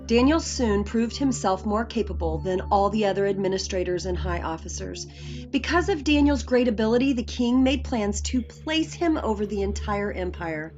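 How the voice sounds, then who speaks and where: Female Bible story narrator, medium pitch, scripted read-aloud, dull recording with soft music. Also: expressive, moderate pace. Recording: muffled audio with faint music.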